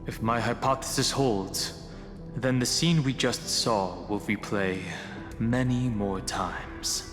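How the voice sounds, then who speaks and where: A man playing a calm, analytical, guarded young man in an anime video game, speaking in a measured, serious, protective way. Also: mid-range voice, close to an everyday voice. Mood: cautious, protective. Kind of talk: English-dubbed anime video game acting. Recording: long hall reverb under clear music.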